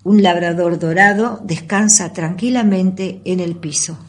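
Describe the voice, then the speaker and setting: A woman's voice: fairly low pitch, measured pace. A woman narrating an amateur radio play from a script. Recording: audible background noise.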